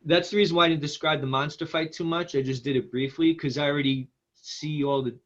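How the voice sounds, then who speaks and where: A man's voice: on the high side, steady tone, fast, fairly bright tone, highs cut off. A man speaking as a screenwriter in a casual feedback discussion, in a hesitant, self-deprecating manner.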